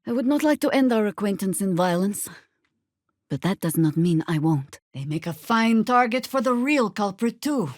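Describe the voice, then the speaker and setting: An actress playing a seasoned, sardonic druid warrior woman in a fantasy video game, with a dry, measured, commanding delivery. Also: mid-range voice, expressive, darkish tone, dry recording, natural voice. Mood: grave, wry. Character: seasoned, sardonic female druid warrior, world-weary but resolute. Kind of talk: scripted fantasy game dialogue with shouted spell incantations.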